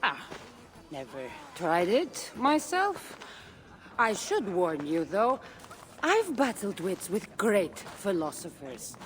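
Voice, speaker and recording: A woman's voice: medium pitch, very animated, slow pace, slight background noise. A woman playing a confident, playful Greek warrior adventurer in a video game, with a Greek accent. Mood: wry, boastful. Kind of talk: video game cutscene dialogue.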